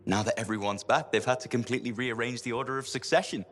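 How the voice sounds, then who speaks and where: An actor voicing a prim, fussy, gossipy courtier with an effusive manner in a video game cutscene. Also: male voice, medium pitch, fairly even intonation, fast, fairly bright tone, lightly acted. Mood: fussy, excited. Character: fussy, gossipy male courtier, primly proper.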